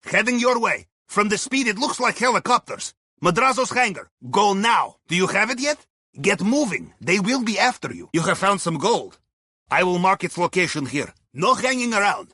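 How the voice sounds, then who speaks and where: A wry, upbeat Russian submarine aide guides players through a video game heist. His voice is bright and husky, recorded very dry and close. He speaks clipped, article-dropping English in a thick Russian accent, with deadpan humor. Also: sits high, moderate pace, no echo, exaggerated voice. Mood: businesslike, helpful. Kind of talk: scripted video game mission dialogue.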